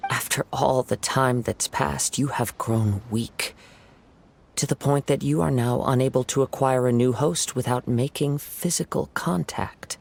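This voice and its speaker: An actor playing a calm, perceptive young wandering samurai in a video game, speaking in a measured, earnest way. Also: male voice, medium pitch, vocal fry, slight background noise. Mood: explaining, assured. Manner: measured, earnest, gently probing. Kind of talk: video game character acting.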